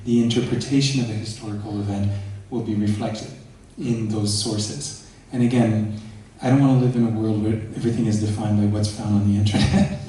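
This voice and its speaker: A man's voice: fairly low pitch, fairly even intonation, brisk, few pauses, dark tone, a little hiss or hum. Man in a distant echoey hall. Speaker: panelist. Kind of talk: conference panel discussion. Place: large conference hall.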